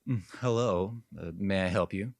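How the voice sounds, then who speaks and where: A man's voice: deep voice, moderate pace, noisy background. A man playing a condescending, sexist wealthy homeowner with a haughty, insinuating manner in an audio drama. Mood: taken aback, doubtful. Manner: hesitant fillers, haughty, insinuating.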